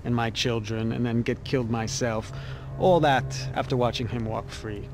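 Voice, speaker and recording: Man playing a gravelly, cynical cop. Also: medium pitch, fairly even intonation, normal speed, natural voice. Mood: sardonic. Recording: music behind the voice.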